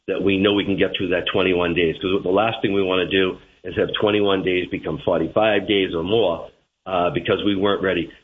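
A man's voice: sits low, slight background noise. A man with a Boston accent, a transit manager being interviewed on the radio, talking with lots of "uh"s and restarts. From Boston area. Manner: hesitant, many fillers and restarts. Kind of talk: radio interview over the phone. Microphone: phone line. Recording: tinny phone line.

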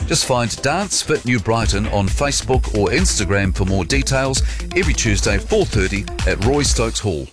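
A man reading scripted community notices in an upbeat, clear way. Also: lowish voice, lively intonation, normal speed. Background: music bed.

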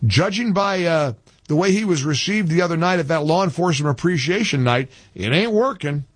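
A man hosting a talk radio show in a dry studio, his voice slightly husky and rising and falling a great deal. He argues his point hard and repeats phrases for emphasis. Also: a little high, lively intonation, brisk, no echo. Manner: combative, repeats himself for emphasis.

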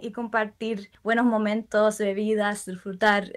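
Young woman, gentle interview guest, unhurried and reflective. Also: mid-range voice, level tone. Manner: soft-spoken, thoughtful, with frequent pauses and fillers. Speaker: musician guest. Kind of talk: relaxed interview answers.

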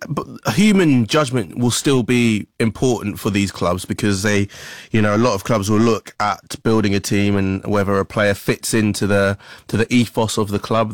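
A man's voice: on the low side, no echo, clean studio sound. A man presenting the news and interviewing a guest in a conversational way. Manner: conversational, leading questions.

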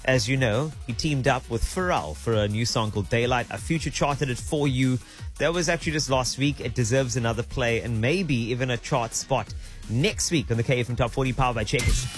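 A man hosts a chart countdown with energetic, promotional patter. His voice sits in an ordinary middle register. A soft music bed runs quietly under him. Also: mid-range voice, brisk. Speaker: radio DJ. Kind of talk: upbeat chart-show presenting.

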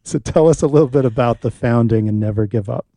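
A man hosting a live radio talk show from the studio, relaxed and chatty, with many pauses and fillers. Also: medium pitch.

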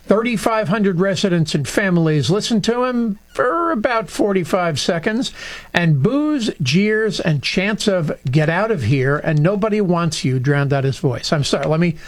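A man's voice: high-pitched, animated, normal speed. A man co-hosting a political talk radio show, telling stories with sarcastic asides.

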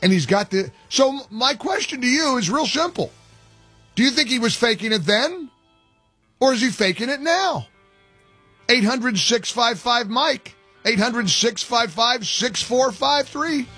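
Male radio host, husky timbre, dry studio sound, opinionated and emphatic. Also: extremely high pitch, expressive, unhurried, pushed and bright, dry recording, soft background music. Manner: incredulous, emphatic, rising to pointed questions. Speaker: radio talk show host. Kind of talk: opinionated talk radio monologue.

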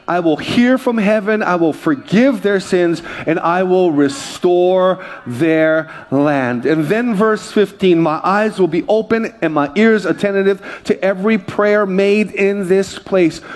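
Fired-up man preaching, raised voice. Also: high-pitched. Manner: energetic preaching with crowd call-outs. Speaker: pastor. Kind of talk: sermon.

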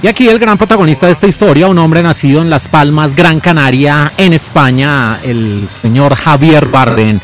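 A man presenting a film in scripted narration, giving titles and names with deliberate emphasis. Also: on the high side, faint music behind.

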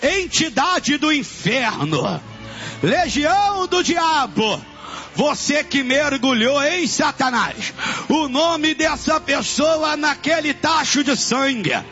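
A man's voice: very high-pitched, animated, slow pace. A man with a Brazilian accent, a pastor leading a deliverance prayer in a commanding, repetitive chant. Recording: muffled, loud music and crowd behind. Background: loud music and congregation.

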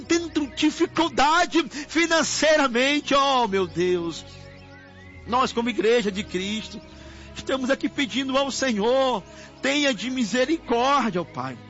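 A Brazilian man leads an impassioned, improvised prayer in an extremely high, forceful voice. He pleads insistently and circles back to the same invocations. The recording is dull and lo-fi. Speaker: preacher leading a prayer. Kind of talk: fervent extemporaneous intercessory prayer. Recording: muffled lo-fi audio with music behind. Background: music under the voice.

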